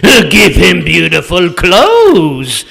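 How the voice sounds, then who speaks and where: Foolish gloating puppet villain: piercing, bright, swooping wildly, for a kids' puppet show. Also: male voice, high-pitched, wildly swooping pitch, extremely slow, creaky, heavily acted. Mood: gloating, greedy.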